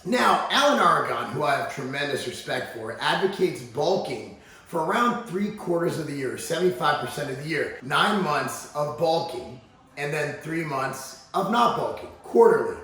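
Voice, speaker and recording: An American man with a slightly hoarse voice talking casually and emphatically to viewers as a fitness vlog host. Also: a little high, very animated, moderate pace, bright, forceful tone. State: slightly hoarse, voice recovering from laryngitis. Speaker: fitness channel host and supplement executive. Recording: very echoey, reverberant room.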